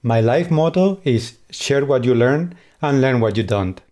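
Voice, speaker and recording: A man with a Spanish accent presenting a scripted conference talk in a measured way. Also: medium pitch, expressive, darkish tone. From Spain. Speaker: conference speaker.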